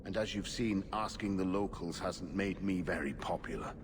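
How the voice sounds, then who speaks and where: Low-voiced, weary aging knight. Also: male voice. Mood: earnest. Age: middle-aged. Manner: formal, earnest, measured. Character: weary aging templar knight, formal and discouraged. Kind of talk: video game dialogue acting. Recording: clear noise behind the voice.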